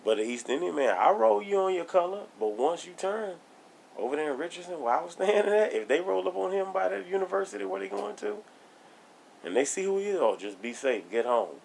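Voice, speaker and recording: A man's voice: sits high, sing-song, fairly quick, gravelly, darkish tone, slight background noise, rough recording. A man co-hosting a casual podcast, blunt and argumentative, laughing as he makes his points. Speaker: podcast co-host.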